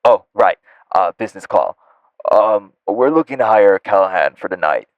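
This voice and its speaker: Man playing a low-voiced, muffled, awkward assistant. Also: expressive, normal speed, dry recording, close to an everyday voice. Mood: awkward, courteous. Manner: hesitant, apologetic, polite. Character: polite, awkward male assistant on a business call. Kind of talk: audio roleplay acting.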